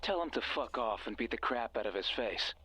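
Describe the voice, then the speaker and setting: An actor playing a sinister, manipulative mysterious voice on a radio, bitter and commanding, narrating a horror game in the second person. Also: male voice, medium pitch, lively intonation, a little fast, bright, forceful tone, slight background noise, low-quality recording. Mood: bitter, taunting.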